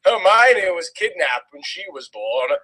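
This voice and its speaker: Bright, slow, higher-voiced man reading dramatically. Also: big pitch swings, slightly rough. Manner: exaggerated, melodramatic delivery. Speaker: dramatic reader of fan fiction. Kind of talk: theatrical dramatic story reading.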